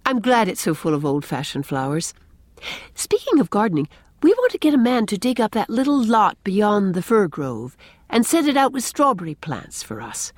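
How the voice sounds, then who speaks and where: A woman voicing a warm, eager, impulsive young married woman in a storybook, in an earnest, gentle manner. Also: medium pitch, big pitch swings, normal speed, no room sound, pitched above the performer's own voice. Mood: friendly.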